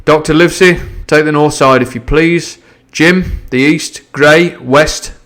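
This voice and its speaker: A man's voice: a little high, a little slow, fairly bright tone, voice pushed up. A man voicing a stern, cold middle-aged ship's captain who gives clipped orders. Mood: commanding. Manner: clipped and commanding.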